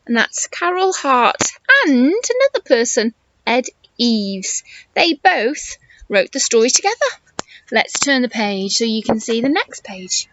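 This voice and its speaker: A woman teacher reading a story to young children, encouraging and expressive, asking questions and explaining as she goes. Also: fairly high pitch, unhurried, lo-fi sound. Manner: encouraging, expressive, exaggerated intonation for small children.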